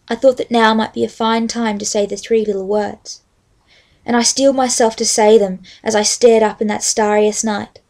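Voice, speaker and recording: A young adult woman with an Australian accent, speaking as a vlogger in a halting, unscripted-sounding way. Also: a little high, creaky, a little mellow. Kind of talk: casual rambling chat with a read-aloud book passage.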